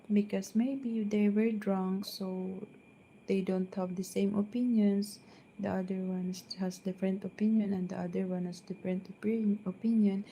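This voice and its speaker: Soft-spoken filipina lady chatting on a live stream at home, slow and flat, lots of ums, faint hum behind. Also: medium pitch, poor audio. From Philippines. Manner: hesitant, many fillers and restarts. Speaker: vlogger. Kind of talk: casual live-stream chat. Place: downstairs at home.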